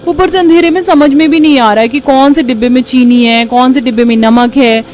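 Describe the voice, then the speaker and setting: Woman doing anxious young bride in a cartoon, really high whiny pleading voice. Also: fairly even intonation, fairly quick, darkish tone, lightly acted. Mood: distressed, plaintive. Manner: plaintive, exclaiming. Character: distressed young new bride, anxious and plaintive.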